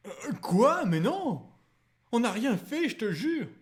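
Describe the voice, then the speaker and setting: A man's voice: very high voice, big pitch swings, takes it slowly, heavily acted. A man voicing a pompous, vulgar middle-aged husband in a comedy dub, smug and easily flustered. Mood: panicked pleading. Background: music.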